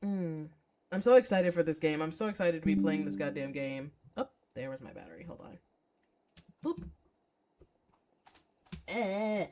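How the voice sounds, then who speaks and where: A woman game streamer with a slightly low voice chats casually as she plays a visual novel live. She is playful and expressive, and swears freely. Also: a little slow, pauses often, noisy background.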